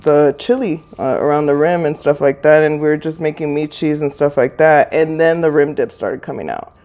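A woman in her thirties from Norwalk, California, chatting as a guest, full of fillers and quick to laugh. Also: sits low, a little mellow. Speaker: guest, small business owner. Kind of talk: casual interview.

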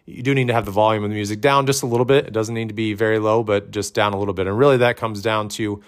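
Loud-voiced man coaching gym trainers. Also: on the low side, steady tone, rapid-fire. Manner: conversational, many fillers, says his voice is loud. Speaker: fitness coach. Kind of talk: instructional podcast talk.

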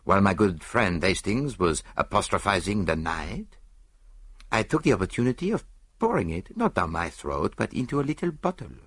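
Man acting dapper foreign detective, placid, self-satisfied, theatrical, very animated delivery, clean dry sound. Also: low-pitched, husky, dry recording, full character voice. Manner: precise, deliberate, theatrical.

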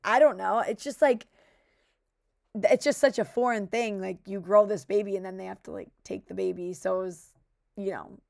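A young woman with a raspy voice, co-hosting a podcast in casual, chatty talk full of fillers. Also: mid-range voice, steady tone, moderate pace, darkish tone, no echo, studio quality. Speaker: podcast co-host. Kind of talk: casual chat.

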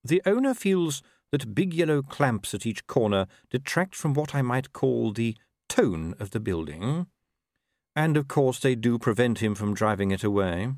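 An urbane storybook ruler, voiced by a man, patient and quietly sardonic. He speaks formally and deadpan, slowly measured, with wide swings of pitch. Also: no echo, studio quality. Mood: dry, patient.

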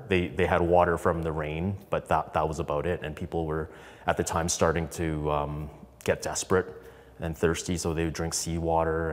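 A man, telling a personal story in an interview with conversational, halting phrasing that often repeats words. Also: low-pitched, big-room sound. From Canada, raised in Calgary from infancy. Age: 30s. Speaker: podcast guest, business co-founder.

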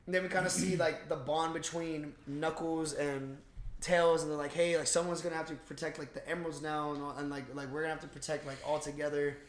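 A young man co-hosting a casual movie discussion, talking in an excitable, slangy way. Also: fairly high pitch, a little fast. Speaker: podcast co-host. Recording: echoey room.

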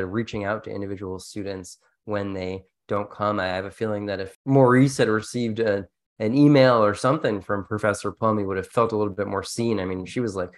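A man hosting an educational podcast in a casual, conversational way with a jokey streak. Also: fairly low pitch. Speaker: podcast host.

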